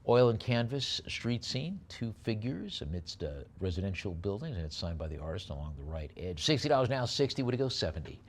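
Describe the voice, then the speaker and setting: A man's voice: on the low side, sing-song. A man working as an auctioneer at a live sale, moving between repetitive bid chanting and descriptions of the lots. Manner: rapid repeated bid chant alternating with unhurried item descriptions.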